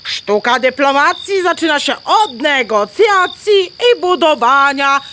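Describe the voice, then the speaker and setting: A woman's voice: sits high, lively intonation, takes it slowly, bright, forceful tone, slight background noise, exaggerated voice. A woman playing a bossy, self-important hen diplomat in a children's audio play, lecturing pompously with clucks between words.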